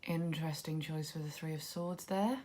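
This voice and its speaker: A woman's voice: low voice, normal speed, slight background noise. A woman with a cold, sniffly and a little congested, musing casually as she walks through a tarot deck. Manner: relaxed, musing, thinking aloud. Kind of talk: casual walkthrough commentary.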